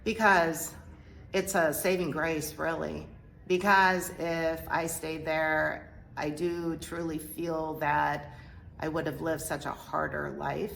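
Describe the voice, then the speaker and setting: A middle-aged woman from Korea speaks slowly in a low, gravelly voice. She talks hesitantly, with pauses and fillers, as she tells her story in an interview. A faint hiss sits under her voice. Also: little pitch movement, low-quality recording. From South Korea. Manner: hesitant, halting, soft-spoken with many fillers. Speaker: interview guest. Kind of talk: personal testimony interview.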